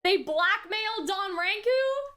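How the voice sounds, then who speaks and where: A woman hosting a reaction video, commenting casually in an animated, exclamatory, slangy way. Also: very high voice, slow.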